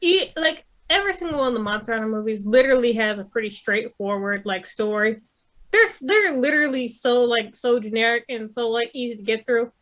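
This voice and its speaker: Woman, high-pitched, sharp bright voice, casual panel chat, blunt, exasperated, lots of swearing. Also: expressive, fairly quick. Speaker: podcast co-host. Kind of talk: casual opinionated panel chat about movies.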